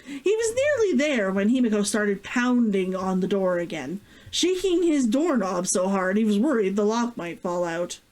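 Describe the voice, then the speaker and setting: A woman's voice: medium pitch, big pitch swings, darkish tone, low-quality recording. A woman hosting a stream as an author, with a giggly, effusive manner. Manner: giggly, effusive, drawn-out sighing interjections. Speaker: vtuber host and author. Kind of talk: casual excited chat and reading aloud from own novel.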